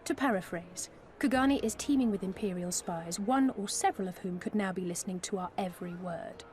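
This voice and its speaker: An actress playing a measured young female adventurer in a game cutscene, speaking calmly and reasonably. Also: medium pitch, a little hiss or hum, natural voice. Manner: calm and reasoned. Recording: with background music.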